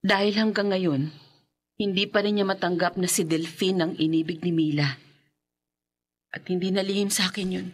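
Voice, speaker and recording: An actress with a Filipino accent playing a grieving, loyal wife and older sister in an emotional radio horror drama, clinging to hope. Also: female voice, on the low side, fairly even intonation, normal speed, pauses often, lightly acted. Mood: reproachful. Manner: melodramatic, emotional delivery.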